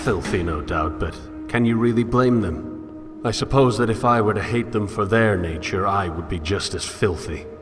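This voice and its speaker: An actor playing a brooding, philosophical loner in a video game, musing introspectively in formal, literary phrases. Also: male voice, fairly low pitch, normal speed, close to an everyday voice. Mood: musing, wry. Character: brooding, philosophical male loner narrating his wanderings. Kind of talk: scripted first-person game monologue. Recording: long echoing reverb under music.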